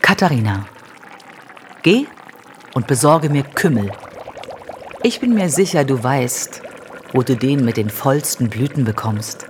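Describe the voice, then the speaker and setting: An actress playing a kindly, wise older noblewoman healer in an audio drama, speaking in a measured, gently instructing way. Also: very deep voice, lively intonation, slight background noise. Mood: calm, instructing. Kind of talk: German audio drama acting.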